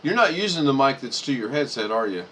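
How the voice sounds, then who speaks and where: A man's voice: medium pitch, sing-song, fast, a bit bright, slight background noise. A man hosting a casual video chat, talking easily while he works through the screen. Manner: easygoing, talks while working the screen.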